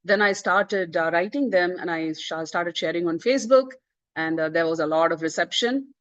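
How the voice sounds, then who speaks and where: A woman's voice: on the low side, moderate pace, fairly bright tone, no room sound. Earnest woman, dull dry sound. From India. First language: Tamil. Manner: earnest, with frequent "uh" and "you know" fillers and restarts. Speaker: book author presenting her book. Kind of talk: online book presentation talk.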